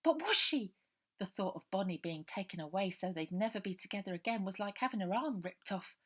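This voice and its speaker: A woman with an English accent narrates a children's novel. She reads scripted prose as a storyteller, at a middling pitch for a woman. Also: fast. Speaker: author reading her own children's novel.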